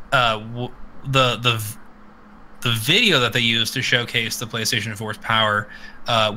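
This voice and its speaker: Bright, animated man chatting on a podcast. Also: medium pitch, slight background noise, rough recording. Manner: animated, sweary, rambling. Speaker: podcast host. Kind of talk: casual opinionated live chat about games.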